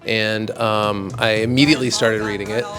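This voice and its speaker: A man's voice: lowish voice, steady tone, normal speed. A man giving a casual, conversational answer to an interview question. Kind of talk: casual on-camera answer about gifts. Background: background music.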